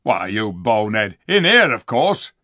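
Brief: man voicing a rude, scornful storybook dwarf, loud and sneering, lively swings in pitch. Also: a little slow, dry recording, studio quality, heavily acted. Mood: surly. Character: surly gruff male dwarf, rude and scornful.

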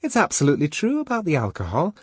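A male narrator plays a society woman in a novel, eagerly passing on gossip. The voice is lifted high, with lively swings in pitch. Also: quick pace, breathy edge, no room sound, studio quality, exaggerated voice. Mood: gossipy.

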